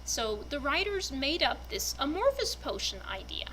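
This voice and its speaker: Animated, high-pitched woman narrator. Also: moderate pace, slight background noise, rough recording. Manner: animated, enthusiastic, expressive. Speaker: fan narrator, reader. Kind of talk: dramatic reading and casual fan commentary.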